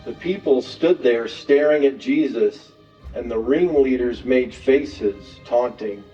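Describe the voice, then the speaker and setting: A man giving a measured, solemn scripted narration of the Passion story. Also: mid-range voice, mellow. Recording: dull, distant, echoing church sound with music.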